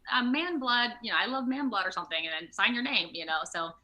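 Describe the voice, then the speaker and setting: Bright-voiced, expressive woman chatting. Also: a little high, fast talker, muffled recording. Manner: chatty, expressive, giggly, thinks aloud. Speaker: guest, video game voice actor. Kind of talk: casual animated interview chat. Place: remote video call.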